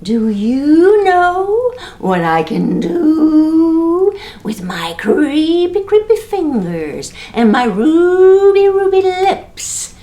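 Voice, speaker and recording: A spooky, teasing ghost , put on by a woman stretches every word slowly and swoops up high and loud. The voice creeps along, then climbs in pitch for effect. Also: very animated, heavily acted. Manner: slow, drawn-out, rising spooky voice.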